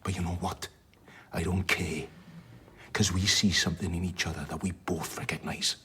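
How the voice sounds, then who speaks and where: An actor playing a sardonic, blunt, worldly doctor in a radio drama, wry and plain-spoken with a husky voice. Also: male voice, sits low, flat delivery, normal speed, bright, forceful tone, full character voice. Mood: grave, heartfelt. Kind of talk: radio drama acting with narration.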